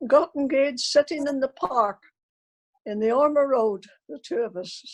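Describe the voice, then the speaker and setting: High-voiced elderly grandmother telling stories. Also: measured pace, rough recording. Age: older, elderly grandmother. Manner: anecdotal, acts out remembered dialogue. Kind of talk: unscripted personal storytelling to family.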